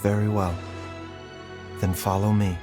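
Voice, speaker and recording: A man playing a calm, learned doctor in a video game, speaking in a measured, formal and articulate way. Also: lowish voice, music in the background, natural voice. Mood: serious. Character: calm, learned male doctor, composed and grave.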